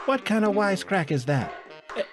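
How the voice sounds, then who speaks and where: A man voicing a high, sneering wife. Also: very animated, brisk, music in the background. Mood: scornful. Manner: sneering, scolding. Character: sharp-tongued scolding small-town wife.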